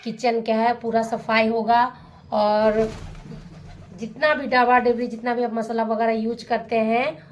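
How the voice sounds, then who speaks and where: A young woman, hoarse from a sore throat, chatting candidly in a village vlog while she cooks. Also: fairly high pitch, little pitch movement, measured pace, roomy sound, highs cut off, a little hiss or hum. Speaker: vlogger. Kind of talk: casual vlog narration while cooking. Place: village home kitchen.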